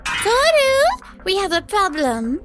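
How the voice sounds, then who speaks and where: The earnest young assistant in an office romcom cartoon, piping up at a very high pitch as a woman acts her. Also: lively intonation, normal speed, over music. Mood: urgent, worried. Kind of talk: scripted manga dub acting.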